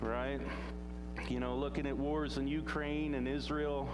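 Man, pastor, informal sermon, rambling and chatty, middle pitch, music behind. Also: level tone, unhurried, low-quality recording. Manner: rambling and conversational, full of fillers and restarts; says he has a loud voice.

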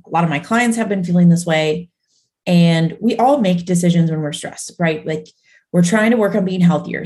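Chatty low-voiced woman co-host. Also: a little mellow. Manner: chatty, full of fillers and restarts. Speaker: podcast co-host. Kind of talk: casual chat.

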